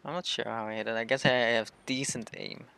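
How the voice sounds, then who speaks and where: A man's voice: mid-range voice, steady tone, a little fast, creaky, bright, forceful tone, clear, resonant tone. A young man streaming a game, chatting casually with viewers and commenting on his play. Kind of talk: casual live stream chat and gameplay commentary.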